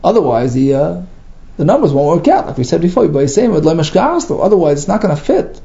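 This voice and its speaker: Creaky sing-song man teaching Talmud. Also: a little high, sing-song, a little fast, dark tone. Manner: sing-song, questioning, reads Hebrew then explains. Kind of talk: Talmud study lesson, reading and translating. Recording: dull lo-fi recording.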